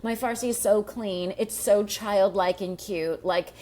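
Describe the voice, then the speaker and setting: A woman comedian hosting a casual comedic panel chat, talking quickly and swearing freely. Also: medium pitch, warm tone. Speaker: comedian and podcast host.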